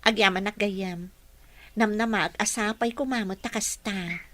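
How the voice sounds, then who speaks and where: A woman playing a gentle, patient working mother in an audio drama, polite and soothing, with a Filipino accent. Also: mid-range voice, fairly even intonation, moderate pace, dry recording, close to an everyday voice.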